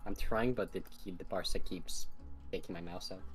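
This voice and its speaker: A man's voice: low-pitched, steady tone, moderate pace, faint music behind. A man chatting casually as a player in a group game stream. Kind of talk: casual gaming chat.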